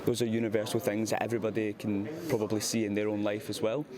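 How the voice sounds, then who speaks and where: Low, flat-toned man in interview. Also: fast talker, a crowd in the background. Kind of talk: plain interview talk.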